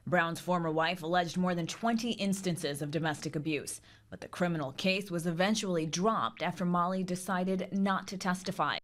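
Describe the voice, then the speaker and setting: A woman reporter narrating a packaged news story in a serious, deliberate way. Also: lowish voice.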